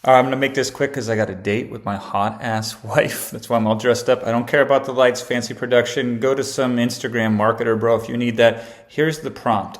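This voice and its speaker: A man presenting a casual marketing tutorial, talking in a brash, slangy way and teasing his viewers. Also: medium pitch. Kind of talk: casual conversational tutorial with read-aloud examples.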